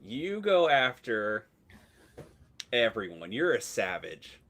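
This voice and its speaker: A man's voice: mid-range voice, sing-song, slow, fairly bright tone. A man hosting a live trading stream, chatting casually and teasing his guest. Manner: laid-back, jokey, teasing. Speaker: host. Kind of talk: casual interview chat.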